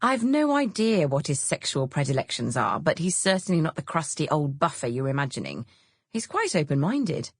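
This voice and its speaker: A woman reader plays a cheerful divorced mother in an audiobook novel. She banters earnestly and gets a little flustered, self-deprecating throughout. Her voice is low and husky in a dry, close recording. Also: sing-song, moderate pace, dry recording, heavily acted. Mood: nervous, protesting. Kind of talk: audiobook character dialogue.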